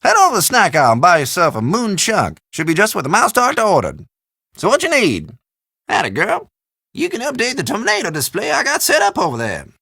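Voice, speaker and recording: Lively, high-pitched old country storekeeper. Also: male voice, brisk, gravelly, slightly rough, dry recording, clean studio sound, full character voice. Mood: folksy, helpful. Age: older. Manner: folksy drawl, colloquial, teasing. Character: folksy, chatty old small-town storekeeper, a man. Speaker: small-town general store keeper. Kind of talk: video game character dialogue.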